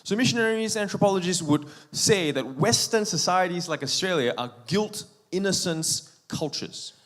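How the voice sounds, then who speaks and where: A man's voice: sits high, animated, fairly bright tone. Man preaching in short phrases. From Malaysia. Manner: speaks in short phrases, pausing for the interpreter. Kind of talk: sermon with live interpretation. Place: church sanctuary.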